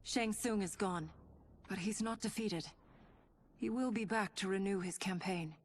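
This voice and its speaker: An actress playing a fierce but composed warrior in a video game, with a measured, formal delivery. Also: female voice, medium pitch, natural voice. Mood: grave. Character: fierce, composed female warrior in fantasy combat. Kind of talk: dramatic video game acting. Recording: loud music underneath. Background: loud music.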